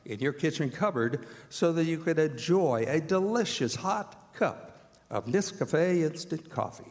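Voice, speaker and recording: Animated male old-time radio announcer, echoey hall. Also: fairly high pitch, measured pace. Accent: American. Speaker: announcer and director of a radio theatre group. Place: performing arts center stage.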